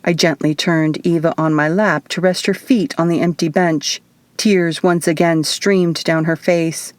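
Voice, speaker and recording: Female audiobook narrator, fairly low register, scripted first-person storytelling, dry booth-like sound. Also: steady tone, a little slow, a little mellow, dry recording. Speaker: author reading own audiobook.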